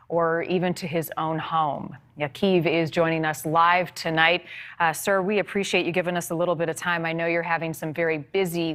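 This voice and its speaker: American anchorwoman, slightly low voice, measured broadcast delivery. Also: fairly bright tone. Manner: polished, measured newsreader delivery. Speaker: news anchor. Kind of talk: broadcast news presenting and interviewing.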